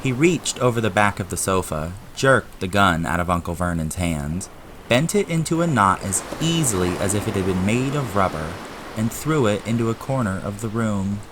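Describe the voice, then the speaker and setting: A man's voice: fairly low pitch, lively intonation, moderate pace, noisy background. A man reading scripted narration for an audio drama, as the storyteller.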